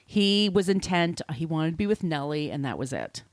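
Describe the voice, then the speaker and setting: A woman's voice: low voice, very animated, normal speed, no echo. A woman hosting a podcast, telling literary history conversationally in an informal, explanatory way with asides. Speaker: podcast host.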